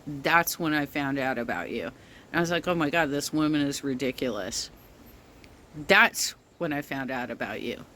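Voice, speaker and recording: A middle-aged woman with some bass to her voice, speaking slowly in a rambling casual rant full of ums. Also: fairly bright tone, slight background noise. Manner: slow-spoken, rambling with many ums.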